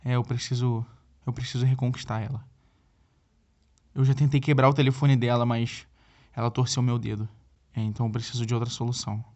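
Guy acting a whiny needy brazilian boyfriend on a phone call, kinda low-fi, stumbles over his words, audio comedy. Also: flat delivery, fairly quick, long pauses, a little mellow, natural voice. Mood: pleading. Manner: hesitant, stammering, repeats himself. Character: flustered, needy boyfriend pleading for help by phone.

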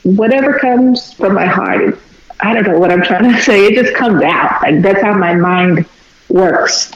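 Woman guest poet from Texas, mid voice, unhurried and thoughtful, roomy dull radio sound. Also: mid-range voice. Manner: reflective, unhurried, with filler pauses. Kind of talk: radio interview conversation.

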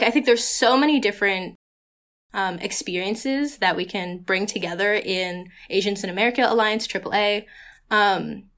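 A woman's voice: mid-range voice, fairly bright tone. Chatty young woman, college podcast. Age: young adult. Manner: chatty, many "like" and "um" fillers. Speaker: student podcast co-host. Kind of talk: casual conversation.

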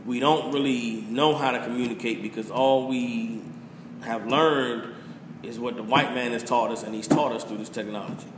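A man who rants passionately as a podcast host, preachy and full of profanity. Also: mid-range voice. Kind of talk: casual opinion rant. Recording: echoey room with faint hiss.

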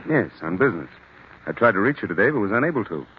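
A man's voice: lowish voice, big pitch swings, quick pace, a little hiss or hum. A man playing an indignant, evasive lover cornered by a rival in an old-time radio thriller, full of defensive bluster. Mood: defensive annoyance. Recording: muffled vintage radio recording.

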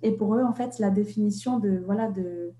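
A young woman from Vietnam, an author and interview guest, talking in a reflective way and often pausing on fillers and restarts. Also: mid-range voice, mellow, roomy sound, a little hiss or hum. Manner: hesitant, many fillers and restarts.

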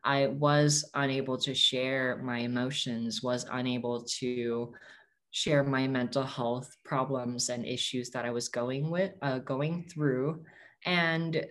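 A man's voice: medium pitch, steady tone, slow, fairly bright tone. A young man whose first language is Tagalog, answering interview questions as an activist in an earnest, reflective way and often correcting himself mid-sentence.

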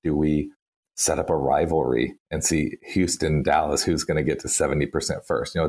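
A man's voice: a bass voice, a little mellow, breathy edge. A man with a Texan accent, a guest in an interview, talking casually and thinking aloud with lots of fillers. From Texas. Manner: chatty, thinks aloud with many "like" and "you know". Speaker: interview guest, campaign strategist.

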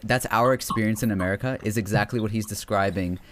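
A man's voice: lowish voice, fairly even intonation, fast talker, fairly bright tone. A man hosting a casual interview, curious and reflective as he asks questions. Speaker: host.

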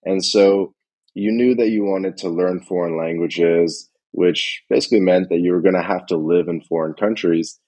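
A man hosting a casual podcast interview, thinking aloud in a measured way as he frames his questions. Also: sits low, steady tone, mellow.

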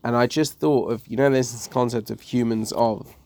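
A man talks loosely in a casual podcast chat. His voice has a gravelly, fry-edged texture, and his sentences start over and trail off as he thinks aloud. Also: medium pitch, steady tone, normal speed, darkish tone. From Northwest London. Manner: rambling, many restarts and trailing sentences.

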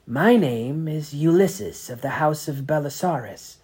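A parent reading aloud voices a cold, sneering male grand inquisitor with a raised, forceful delivery. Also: mid-range voice, lively intonation, measured pace, husky, pitched above the performer's own voice. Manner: clipped, sarcastic, accusing.

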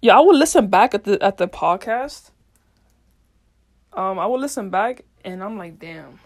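A woman hosts a solo podcast in a loose, casual way. She speaks in slang and swears freely. Her talk is unfiltered and wanders as she thinks out loud. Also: mid-range voice, expressive, normal speed. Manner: meandering, thinking aloud. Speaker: podcast host. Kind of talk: casual rambling monologue.

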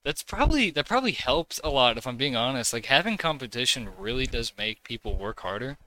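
Bright-voiced man hosting a casual podcast. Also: mid-range voice, very animated, brisk, slight background noise, poor audio. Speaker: podcast host. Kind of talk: casual interview chat.

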